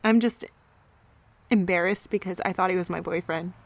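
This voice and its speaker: Audio drama role: young woman, lowish pitch, embarrassed, hesitant, confessional and vulnerable. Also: steady tone, moderate pace, dry recording. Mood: hurt, embarrassed.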